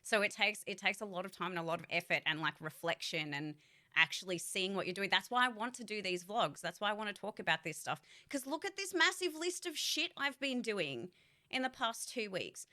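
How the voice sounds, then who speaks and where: A woman's voice: medium pitch, animated, fairly quick, bright, forceful tone. A woman voice actor and streamer giving a casual vlog update, candid and rambling, swearing freely.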